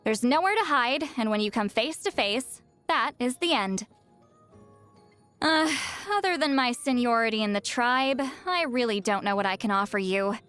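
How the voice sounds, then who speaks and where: A woman voicing a video game seer, youthful-sounding, proud but easily flustered and secretly awkward, in an expressive, haughty and defensive manner. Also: fairly high pitch, normal speed, clean tone, faint music behind. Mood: solemn. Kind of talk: video game character voice lines.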